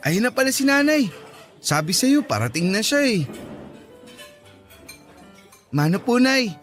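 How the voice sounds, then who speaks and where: A high-voiced, cheeky young boyfriend. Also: male voice, big pitch swings, normal speed, a bit bright, faint music behind, exaggerated voice. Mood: easygoing. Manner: casual, playful. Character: young man, cheeky, devoted son and boyfriend. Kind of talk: radio drama dialogue.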